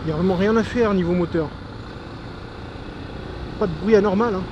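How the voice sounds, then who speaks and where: A man's voice: sits high, moderate pace, highs cut off. A man giving enthusiastic, informal vlog commentary, thinking aloud as he rides a motorcycle. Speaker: motovlogger. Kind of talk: casual vlog commentary while riding a motorcycle. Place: outdoors on a moving motorcycle on country roads. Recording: noisy outdoor recording with engine and wind.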